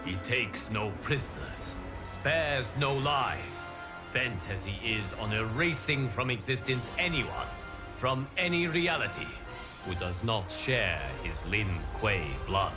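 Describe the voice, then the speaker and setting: An actor playing a solemn guardian of timelines, narrating a video game story in a formal, measured, dramatic manner. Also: male voice, mid-range voice, animated. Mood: grave, urgent. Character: solemn male guardian of timelines addressing his champions. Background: music.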